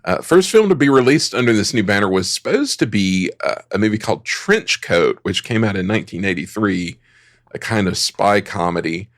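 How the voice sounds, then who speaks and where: A man's voice: on the low side, lively intonation, moderate pace, no room sound, studio quality. A man hosting a podcast, narrating film history from notes in a conversational way with joking asides. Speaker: podcast host.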